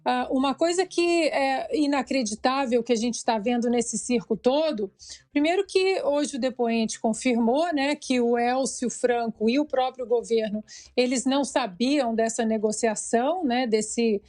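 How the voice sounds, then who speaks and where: Woman pundit, a little hoarse, high voice, emphatic and sarcastic. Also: measured pace, darkish tone. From Brazil. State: slightly hoarse. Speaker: commentator. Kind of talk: political commentary on a news talk show.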